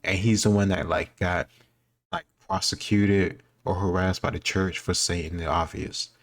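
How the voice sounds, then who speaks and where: Deep-voiced man thinking out loud. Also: steady tone, moderate pace. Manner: informal, thinking aloud. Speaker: host answering history quiz questions. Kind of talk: casual reaction commentary.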